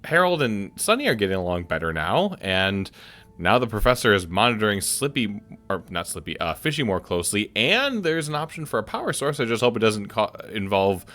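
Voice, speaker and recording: A male gaming host chatting along over a story game in an excitable way. His voice is fairly low but bright, and it rises and falls a lot. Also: brisk. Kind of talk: casual let's play commentary.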